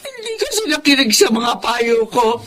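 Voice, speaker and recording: A woman's voice: on the high side, very animated, normal speed, background noise. An actress with a Filipino accent playing a worried, exasperated old grandmother in a radio drama. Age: older.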